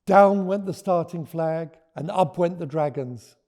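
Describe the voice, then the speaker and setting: A man with a soft, mellow tone reads for children in a slow, measured way. His voice sits a little high for a man, and he phrases each line deliberately, like a practised storyteller. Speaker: children's author reading his book aloud. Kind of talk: expressive storybook read-aloud with teaching notes.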